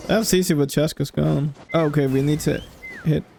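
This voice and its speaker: Creaky-voiced woman commenting on gameplay. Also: low voice, fairly even intonation, moderate pace, warm tone, soft background music. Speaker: game streamer. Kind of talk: casual live gameplay commentary.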